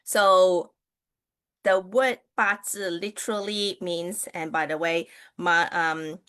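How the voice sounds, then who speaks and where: A woman's voice: fairly low pitch, fairly even intonation, takes it slowly, fairly bright tone, smooth. A woman from Malaysia whose first language is Mandarin Chinese, hosting a casual livestream and chatting with viewers, with many fillers and restarts. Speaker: livestream host, Chinese astrology reader.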